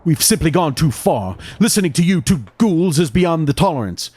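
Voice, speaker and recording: An actor playing a haunted, fearful army doctor, narrating a gothic horror audio drama in a grave, formal, dramatic way. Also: male voice, on the high side, big pitch swings, normal speed, darkish tone, breathy edge. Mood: indignant. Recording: music under the voice.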